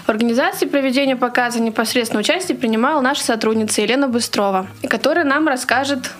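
A woman radio host speaks at a rapid pace with a slightly high pitch. She presents in a semi-formal studio style, introducing people and posing questions.

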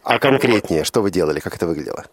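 A man's voice: low voice, lively intonation, very fast, slight background noise. A man hosting a radio show from a studio, asking probing questions in a conversational style and rephrasing as he goes. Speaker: radio host and interviewer.

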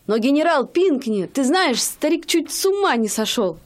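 Actress playing a young wife, loving, stubborn, chatty, higher voice, radio drama. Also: female voice, big pitch swings, moderate pace. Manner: chatty, pleading, sometimes hesitant. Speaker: radio play actor.

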